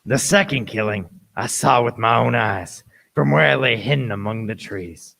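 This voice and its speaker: A man's voice: mid-range voice, normal speed, vocal fry, bright, forceful tone, a little hiss or hum. A man playing an adventurer who narrates his perilous tale in a scripted radio play. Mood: grave, suspenseful.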